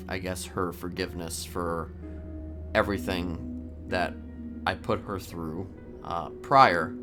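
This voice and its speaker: Man playing a slow, halting serial killer. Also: lowish voice. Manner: halting, stammering, evasive, politely matter-of-fact. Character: soft-spoken, hesitant male serial killer confessing his crimes. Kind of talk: improvised online game roleplay.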